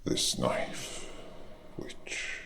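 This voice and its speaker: A man voicing a huge, gentle, brooding giant druid in an ASMR roleplay, murmuring and whispering in halting, cryptic phrases with a gravelly, breathy tone. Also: a little hiss or hum. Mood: musing.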